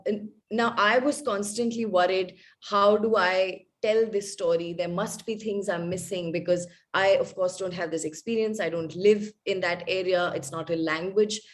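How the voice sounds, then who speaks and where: A woman journalist speaking on a webinar panel over a video call, thoughtful and halting with many fillers. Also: lowish voice. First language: not Hindi. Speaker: journalist and podcast maker.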